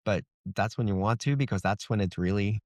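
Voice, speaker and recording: A man's voice: on the low side, fairly quick, darkish tone, dry recording, clean studio sound. A middle-aged man speaking as an interview guest, telling things in a casual, reflective, conversational way. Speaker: fantasy author, interview guest.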